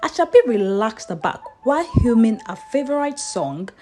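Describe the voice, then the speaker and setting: Expressive woman storyteller with lively pitch. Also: moderate pace, soft background music. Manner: expressive, theatrical. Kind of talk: dramatic folktale narration.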